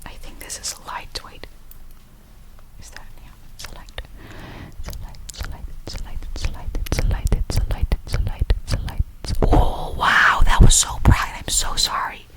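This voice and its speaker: A woman's voice: moderate pace, rough recording. A young woman vlogging in a whisper, rambling, giggly and self-conscious as she talks to her viewers. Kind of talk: whispered ASMR vlog.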